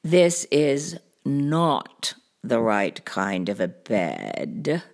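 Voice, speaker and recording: A woman's voice: a bass voice, very animated, drawn-out words, pitched below the performer's own voice, full character voice. A woman voicing a big, cocky male cat from a children's storybook, drawling and chuckling with lazy, boastful confidence. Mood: pondering.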